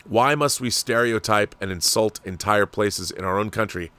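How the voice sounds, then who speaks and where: A man with an American accent and a fairly low voice hosts a livestream. He comments in a casual, discursive way, sharing opinions as if thinking aloud to his viewers. The sound is very dry, with no room reflections. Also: normal speed, pushed and bright, no room sound. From Washington state, United States. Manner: conversational and discursive, with rhetorical questions. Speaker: livestream host.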